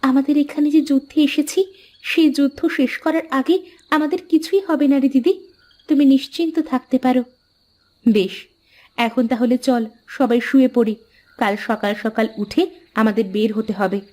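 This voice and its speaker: Woman acting a high-voiced devoted young sister. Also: fairly even intonation, normal speed, darkish tone, lightly acted. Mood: determined, caring. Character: devoted young village wife, one of three brave sisters. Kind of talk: Bengali moral-tale cartoon dubbing. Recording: music under the voice.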